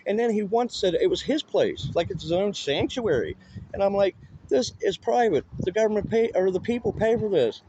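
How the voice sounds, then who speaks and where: Male, high but mellow voice, insistent everyday arguing, muffled handheld camera sound. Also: lively intonation, moderate pace, slight background noise. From Canada. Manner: insistent, repetitive, colloquial. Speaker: citizen auditor filming police. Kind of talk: casual argumentative conversation.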